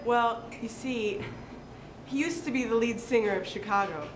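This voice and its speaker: A woman's voice: fairly high pitch, normal speed, over music. A woman giving a dramatic recitation of a short story to listeners. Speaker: reader at a literary recitation. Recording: echoey room with clear background noise.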